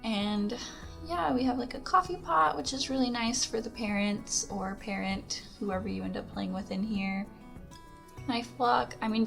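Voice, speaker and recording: Hoarse young woman chatting over music. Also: medium pitch, fairly bright tone. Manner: chatty, rambling, lots of "like" and "um". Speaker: Sims speed-build YouTuber. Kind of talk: casual voice-over commentary. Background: background music.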